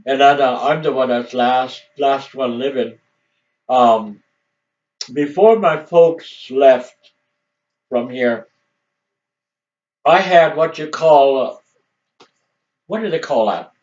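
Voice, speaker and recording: A man's voice: medium pitch, takes it slowly, long pauses, darkish tone. An older man whose first language is Assiniboine, telling family history in a rambling, casual way and chuckling often. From Montana, US. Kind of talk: casual storytelling. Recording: dull distant home recording.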